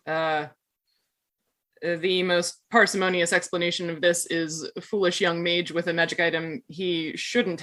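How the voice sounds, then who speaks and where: A woman's voice: fairly low pitch, pushed and bright. A woman playing a pragmatic, wry knight who talks in precise, bookish, formal phrases.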